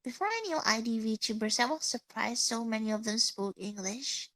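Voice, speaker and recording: A young Indonesian woman with an Indonesian accent and a stuffy nose, chatting casually with listeners as a streamer, halting and searching for words in English. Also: fairly high pitch, a bit bright, no echo. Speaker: VTuber streamer.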